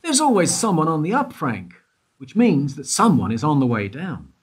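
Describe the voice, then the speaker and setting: A man's voice: fairly high pitch, big pitch swings, normal speed, breathy edge. An actor playing a persistent male investigator who needles a witness with questions in a radio play. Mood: probing. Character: probing male detective questioning a guard. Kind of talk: amateur radio play acting.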